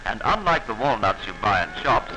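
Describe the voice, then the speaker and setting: A man narrating a 1940s instructional film in a formal, instructive manner with measured diction, on an old film soundtrack. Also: on the low side, sing-song, background noise.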